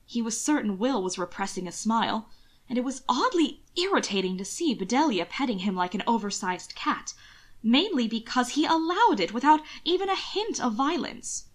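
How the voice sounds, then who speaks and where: A woman reading a scripted story aloud as an expressive audiobook narrator. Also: a little high, normal speed. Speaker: audiobook narrator of fan fiction.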